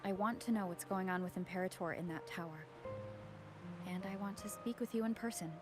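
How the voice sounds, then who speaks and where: A woman voices a level-headed young adventurer heroine from a video game. The delivery is calm and matter-of-fact at a middle pitch, over clear background music. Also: brisk, natural voice. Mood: determined.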